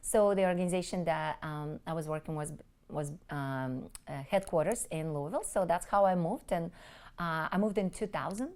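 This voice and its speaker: A woman in her forties from Crimea whose first language is Russian, answering interview questions earnestly with frequent pauses. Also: fairly low pitch, a little mellow. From Crimea, Ukraine. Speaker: interviewee, relief group leader. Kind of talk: conversational interview.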